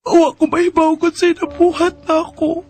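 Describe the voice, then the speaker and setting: A woman's voice: very high-pitched, level tone, normal speed, darkish tone. A woman playing a heartbroken young woman in a Filipino radio drama, speaking in an emotional, sighing way. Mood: anguished, crying. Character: heartbroken young woman jilted on her wedding day. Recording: music under the voice.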